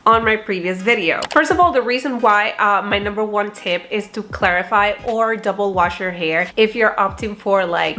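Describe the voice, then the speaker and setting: A young woman with a nasal, congested voice, chatting slangily and casually as she walks through her hair routine. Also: mid-range voice. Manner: chatty, slangy, animated with swearing and laughs. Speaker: beauty vlogger. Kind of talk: casual hair tutorial vlog.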